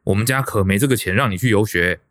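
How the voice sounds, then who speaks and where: Actor as brisk, low-voiced stern Taiwanese father. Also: fairly bright tone, dry recording, clean studio sound, lightly acted. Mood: stern, scolding. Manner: curt, commanding. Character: stern, controlling middle-aged father set on bank career. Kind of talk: radio drama acting.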